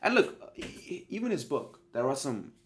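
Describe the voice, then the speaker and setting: A man's voice: on the high side, big pitch swings, normal speed. A young man hosting his own podcast while sniffly with a cold, talking through his thoughts in an earnest, hesitant way that keeps correcting itself. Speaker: podcast host. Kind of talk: solo podcast monologue.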